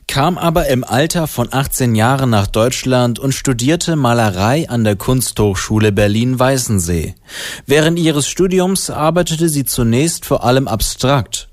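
A man's voice: medium pitch, no echo, studio quality. A man narrating a scripted radio report as the reporter.

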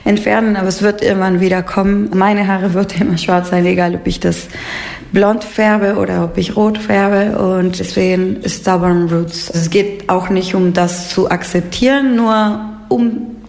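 A woman's voice: mid-range voice, fairly even intonation, measured pace, creaky, darkish tone. A woman from Peru with a Peruvian Spanish accent, explaining her art informally in an interview, searching for words in non-native phrasing. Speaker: painter being interviewed.

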